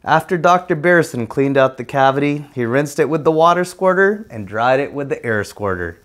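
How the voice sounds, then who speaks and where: A man's voice: a little high, lively intonation, normal speed, fairly bright tone. A man narrating a children's storybook aloud as a guest reader.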